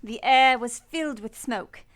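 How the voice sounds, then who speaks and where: An actress playing a grave, reserved, plain-spoken young governess in a radio play, earnest and polite. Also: female voice, fairly high pitch, unhurried, fairly bright tone. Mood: uneasy, earnest. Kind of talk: radio play acting and first-person narration.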